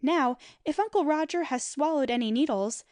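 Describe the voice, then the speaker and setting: A woman's voice: high-pitched, moderate pace, dry recording, studio quality, voice pushed up. A woman voicing an eager young girl who loves telling stories. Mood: storytelling. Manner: eager, animated storytelling. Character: earnest girl storyteller, anxious and eager.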